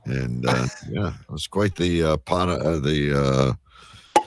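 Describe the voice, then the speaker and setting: A man hosting a casual live show, talking in a rambling, halting way. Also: a bass voice. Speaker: show host.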